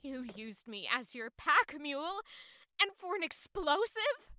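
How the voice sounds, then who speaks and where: A woman's voice: high voice, very animated, measured pace, bright, forceful tone, no echo, clean studio sound, exaggerated voice. An actress playing a weary, bitter and defiant young woman in an audio drama, carrying guilt as she narrates and speaks. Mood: angry, defiant. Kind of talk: audio drama acting with first-person narration.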